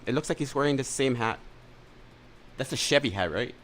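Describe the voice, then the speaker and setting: Casting: streamer guy, mid-pitched, snarky, swears a lot, rambles while reacting. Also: animated, a little fast, fairly bright tone. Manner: sarcastic, crude, rambling. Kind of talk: mocking reaction commentary.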